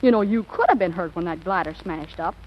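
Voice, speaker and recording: A woman's voice: on the low side, a little fast, a little hiss or hum, close to an everyday voice. A woman playing a dramatic, stubborn fifteen-year-old girl with a petulant, slangy way of talking in an old radio comedy. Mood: skeptical, worried. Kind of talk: 1940s radio comedy acting. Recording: dull old radio broadcast recording.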